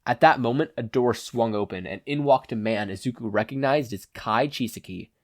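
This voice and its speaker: A man's voice: mid-range voice, normal speed, dry recording, clean studio sound. A man giving a scripted narration of a fan fiction story as an audiobook reader. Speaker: podfic narrator.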